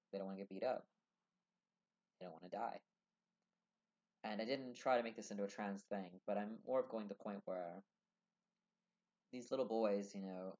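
A man's voice: fairly low pitch, darkish tone. A young man vlogging to camera from a small room, talking earnestly and rambling with many fillers. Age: young adult. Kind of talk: casual talk to camera. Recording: dull lo-fi webcam audio.

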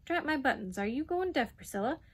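A woman's voice: high voice, expressive, a little fast, breathy edge, pitched above the performer's own voice. Woman doing a blustering old duke. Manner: puffing, sputtering, broad dialect. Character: gruff blustering old male duke, hard of hearing.

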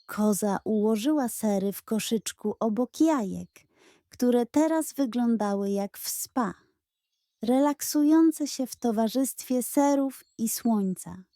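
A woman tells a children's bedtime story. Her voice is fairly high yet soft and mellow, and she reads slowly and expressively, very close and dry. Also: dry recording. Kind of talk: bedtime storytelling, scripted narration.

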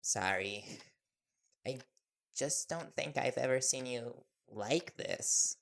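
A man's voice: medium pitch, slow, gravelly, fairly bright tone. A man playing an earnest, nervous young reporter boyfriend in an intimate audio roleplay, hesitant and deferential. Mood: sheepish, admiring.

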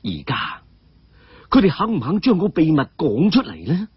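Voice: mid-range voice, big pitch swings, moderate pace, breathy edge, dry recording. A storyteller with a Cantonese accent narrating a martial-arts tale in a dramatic audiobook style. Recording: dull, muffled audio.

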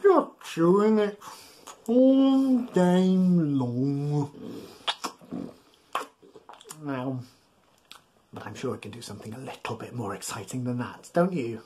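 A man's voice: a little high, animated, a little slow, warm tone, slight background noise. A man in his thirties reading a bedtime story to young children in a playful, exaggerated way, coaxing them to join in. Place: small furnished room at home, indoors.

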